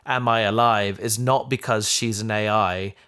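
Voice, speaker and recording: A man's voice: fairly low pitch, steady tone, normal speed, pushed and bright, clean tone. A man in a casual discussion, musing reflectively and posing questions as he thinks. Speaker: audio drama podcaster.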